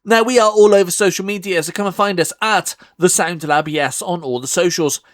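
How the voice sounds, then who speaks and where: Upbeat male host, high and dry. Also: fairly quick, fairly bright tone, dry recording. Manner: upbeat promotional delivery. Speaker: podcast host. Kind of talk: scripted link and outro.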